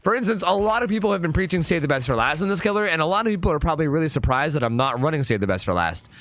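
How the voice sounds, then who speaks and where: A young man with a hoarse, partly lost voice, chatting casually as a gaming YouTuber explaining his build. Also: fairly high pitch, lively intonation, fairly bright tone, dry recording. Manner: chatty, fast and filler-heavy. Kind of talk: casual gameplay commentary.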